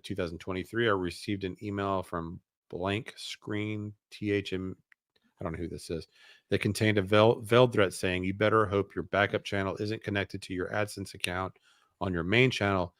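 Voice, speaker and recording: A man's voice: low-pitched, fairly bright tone, no room sound, studio quality. A man hosting a show, reading documents aloud and adding casual commentary with hesitant asides. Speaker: show host.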